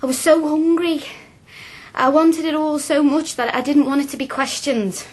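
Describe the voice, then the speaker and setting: A woman's voice: sits high, fairly even intonation, normal speed, darkish tone, lightly acted. A woman playing a frank, earnest young working-class student in a radio drama, plain-spoken and colloquial. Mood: earnest, reflective.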